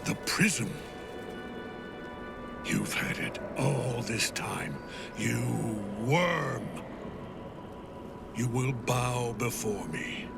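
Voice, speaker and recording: An actor playing a grief-worn, imperious villain general in a video game, speaking in a grandiose, commanding and theatrical way. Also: male voice, mid-range voice, lively intonation, extremely slow, vocal fry, pauses often, over loud music, exaggerated voice. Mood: imperious, threatening.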